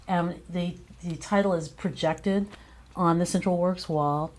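Female interview guest, low register, conversational, effusive and emphatic in praising collaborators. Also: a little mellow. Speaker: guest, playwright and director.

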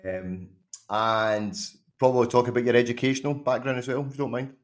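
A man's voice: medium pitch, fairly even intonation, fairly quick. A man hosting a podcast interview, friendly and laid-back. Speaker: podcast host. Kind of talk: casual interview talk.

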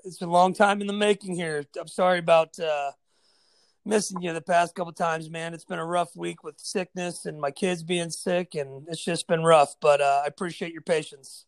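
Gravelly American man hosting a podcast. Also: on the high side, steady tone, moderate pace, no echo. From United States. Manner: friendly, emphatic, says "man" and "brother" a lot. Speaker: podcast host. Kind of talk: casual interview chat.

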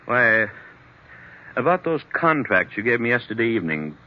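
An actor playing a troubled, confused businessman in an old radio drama, halting and uneasy as he speaks. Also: medium pitch, sing-song, lightly acted. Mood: anxious, defensive. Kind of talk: 1940s radio drama acting. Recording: muffled vintage radio recording.

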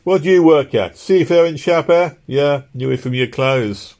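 A man's voice: fairly high pitch, moderate pace, a little mellow, pitched above the performer's own voice, lightly acted. A man voicing an earnest, work-worn docker, for an audiobook. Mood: resigned, then curious. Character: broken-down fifty-four-year-old London docker, work-obsessed, earnest. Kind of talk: audiobook character dialogue.

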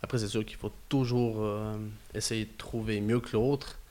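Flat-voiced man with a Gruyère Swiss French accent. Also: lowish voice, moderate pace. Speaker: guest business owner. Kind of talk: casual interview conversation.

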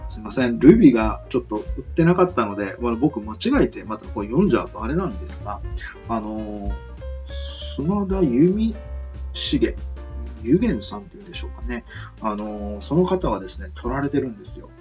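A man's voice: medium pitch, very animated, normal speed, a little mellow, soft background music. A man hosting an amateur radio show in a rambling, reflective monologue, hesitant and searching for words in a polite, earnest way. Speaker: radio host. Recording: dull lo-fi audio.

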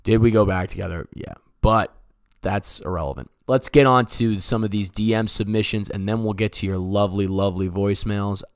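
A man's voice: on the low side, steady tone, a little fast, clear, resonant tone, dry recording, studio quality. A man hosting a casual solo comedy podcast, talking in a rambling, jokey, sweary way like a stand-up. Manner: rambling, profane, jokey self-interrupting delivery. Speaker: podcast host.

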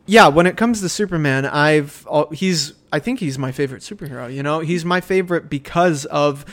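A man's voice: on the high side, a little fast, a bit bright. A young man hosting a casual comics podcast, laughing often and swearing freely. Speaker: podcast host. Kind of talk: casual chat.

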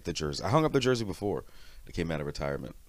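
A young man appearing as a guest on a comedy podcast, cracking crude jokes, laughing a lot, and sometimes trailing off quietly. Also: deep voice, sing-song, very fast, no echo. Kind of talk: casual comedy podcast banter.

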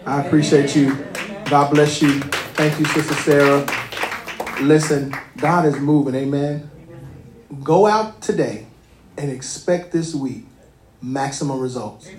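A man's voice: a little high, a little mellow. A man, a pastor, welcoming guests and leading a closing prayer in a folksy, emphatic way, laughing often. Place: church sanctuary. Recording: echoey church room.

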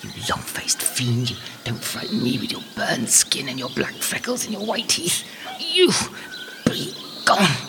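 An actress playing an old, devout, lonely but feisty medieval anchoress in an audio drama, creaky-voiced and muttering to herself. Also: female voice, a bass voice, huge pitch swings, a little slow, noisy background, exaggerated voice. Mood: fierce, defiant. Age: older. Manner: talking to herself, muttering and exclaiming.

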